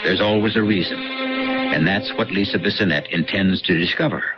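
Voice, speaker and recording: A man hosting a suspense radio drama, narrating from a script with portentous, measured phrasing. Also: deep voice. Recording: dull old radio broadcast. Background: loud music.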